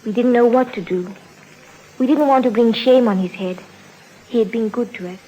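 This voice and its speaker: A woman's voice: on the high side, moderate pace, dark tone, dull audio, a little hiss or hum, lightly acted. Tender, earnest romantic young woman, acted. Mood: pleading, earnest. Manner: tender, pleading, dramatic. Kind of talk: 1950s radio drama acting.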